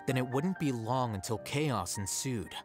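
A man playing a cool, rational young scholar in a video game, speaking in a measured, articulate, dry manner. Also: mid-range voice, animated, over music, lightly acted. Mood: aloof, dry. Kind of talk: video game drama dialogue.

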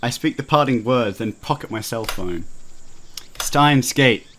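A man's voice: mid-range voice, a little slow, a bit bright, slight background noise, close to an everyday voice. A man reading the part of a paranoid, grandiose young mad scientist in a visual novel, pompous and melodramatic, with music underneath.

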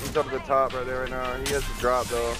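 A man gives short, clipped callouts over squad voice chat, with easy joking banter in quiet moments. Loud game music and gunfire sit behind his voice. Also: medium pitch, level tone, fast. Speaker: streamer. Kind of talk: casual gaming voice chat.

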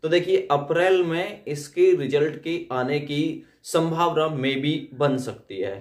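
A man's voice: fairly high pitch, takes it slowly, echoey room. A young man explains updates informally to students, repeating himself and adding tag questions. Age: young adult. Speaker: host of exam update videos.

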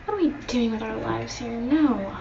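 A woman's voice: on the high side, moderate pace, creaky. Chatty young woman in an echoey bedroom. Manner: chatty, rambling, mock-dramatic about small things. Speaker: vlogger. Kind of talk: casual clean-with-me vlog chatter. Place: carpeted bedroom, indoors. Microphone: phone camera set across the room. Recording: echoey bedroom, dull phone audio with steady hiss.

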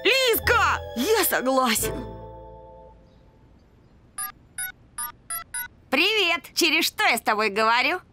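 A woman voicing an excitable, boastful young boy with a whiny, pleading, emphatic way of talking in a cartoon. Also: high-pitched, moderate pace, music in the background. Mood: agitated. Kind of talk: animated cartoon voice acting.

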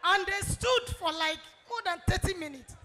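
A woman's voice: very high-pitched, moderate pace, sharp tone, soft background music. A middle-aged woman giving a fervent testimony on a handheld mic in a large church hall. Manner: fervent, ends in sung praise. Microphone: handheld microphone.

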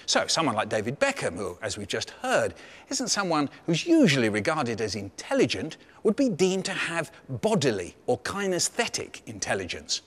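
A man's voice: medium pitch, huge pitch swings, normal speed, fairly bright tone, husky. A man in his sixties with an English accent hosting a panel discussion in a conversational, questioning way. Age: 60s. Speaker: host.

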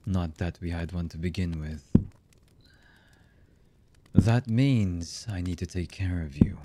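Guy doing a cold bitter anime villain boyfriend for asmr, deep husky voice, real slow and menacing. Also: heavy background noise. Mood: cold menace. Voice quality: husky, low. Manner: slow, menacing, halting, often dropping to a murmur. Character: cold, embittered villain man, a yandere anime lover. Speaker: voice actor. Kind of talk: ASMR roleplay acting.

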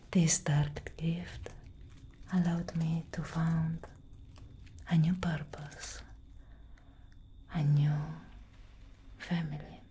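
A woman's voice: deep voice, mellow, slight background noise. Whispering elder vampire sister, played by a woman. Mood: mournful, resolute. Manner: breathy, slow, intimate, dramatic. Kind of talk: soft-spoken whispered ASMR roleplay.

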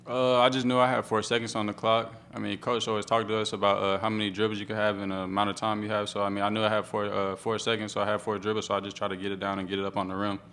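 Young male athlete, low and gravelly, quick flat answers into a table mic. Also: a little hiss or hum. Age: young adult. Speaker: college basketball player. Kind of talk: postgame press conference answers. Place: press room in front of a sponsor backdrop. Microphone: table microphone.

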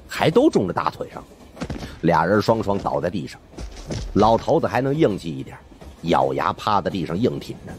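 Male audiobook narrator, deep register, lively swings in pitch, colloquial first-person storytelling. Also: slight background noise.

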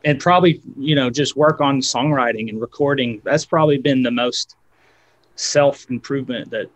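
A man's voice: medium pitch, normal speed. A man in his thirties, a guest guitarist, talking casually on a video call with many fillers and restarts.

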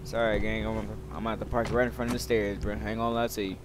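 A young man with a fairly low voice narrates his roleplay gaming session in loose, profane slang, half talking to himself. Loud car and street noise from the game runs behind him. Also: flat delivery, quick pace. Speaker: gamer recording gameplay video. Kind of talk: casual gaming commentary, roleplay. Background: video game car and city sounds.

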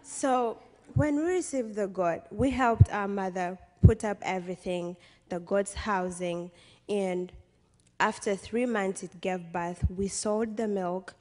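A woman's voice: mid-range voice, slow, darkish tone. A young woman with a Ugandan accent giving an earnest, emphatic personal speech to an audience from a podium. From western Uganda. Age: young adult. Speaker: guest speaker, college student. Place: stage in a large hall. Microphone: podium microphone. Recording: long hall reverb from podium PA.

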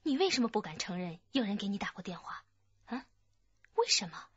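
Husky, probing policewoman. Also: medium pitch, sing-song, fairly quick, dry recording, lo-fi sound. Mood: stern, probing. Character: firm, probing female police detective. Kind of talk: radio drama acting.

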